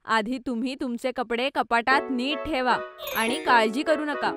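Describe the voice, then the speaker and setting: A woman voicing a caring, gently firm mother in a children's cartoon. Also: sits high, steady tone, measured pace. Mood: reassuring. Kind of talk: cartoon voice acting. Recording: music under the voice.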